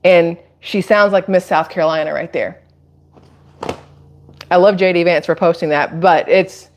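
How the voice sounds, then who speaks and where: Casting: American woman, medium pitch, chatty and rambling live stream commentator, informal and emphatic. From Atlanta, United States. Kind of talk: casual political commentary to viewers.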